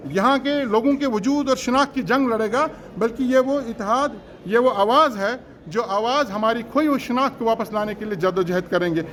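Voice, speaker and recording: A man's voice: extremely high pitch, a bit bright, slight background noise. This is a man. He speaks as a candidate on the campaign trail, formal and earnest. He drives his points home with emphatic repetition. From Jammu and Kashmir, India. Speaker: election candidate. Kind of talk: political campaign speech in formal Urdu-heavy register.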